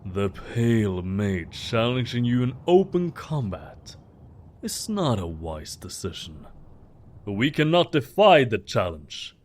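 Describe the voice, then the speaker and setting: A man's voice: medium pitch, big pitch swings, slow, a little hiss or hum. A man playing a stern, proud, ancient vampire in an audio roleplay, speaking in a formal, solemn way.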